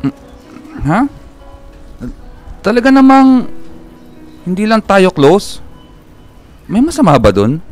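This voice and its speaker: A man's voice: high-pitched, big pitch swings, slow, long pauses, a little mellow, full character voice. A man playing an aloof, irritable, secretive older brother with a Filipino accent in a radio drama, brusque and dismissive. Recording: music bed behind the voice.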